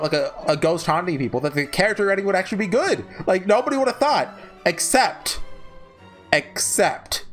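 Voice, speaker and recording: This is a man with a fairly high-set voice and very lively intonation. He speaks like a YouTube host giving casual commentary, rambling and opinionated, with light music playing behind him. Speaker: YouTuber host ranking visual novels. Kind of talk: casual off-the-cuff review commentary.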